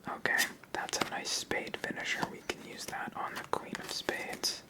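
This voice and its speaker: A person whispering throughout in an ASMR style, calmly explaining a card game step by step as its host. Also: moderate pace. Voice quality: whispered, unvoiced.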